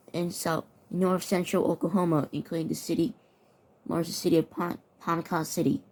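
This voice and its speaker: A woman's voice: on the low side, dark tone, poor audio. A woman reading mock severe weather warnings aloud with her own commentary, often stumbling over and repeating words. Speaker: mock emergency alert narrator.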